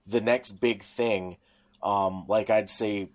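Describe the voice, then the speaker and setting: A man's voice: lowish voice, fairly even intonation, slow, darkish tone. A middle-aged man co-hosting a podcast in casual conversation, with a wry manner. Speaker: podcast co-host.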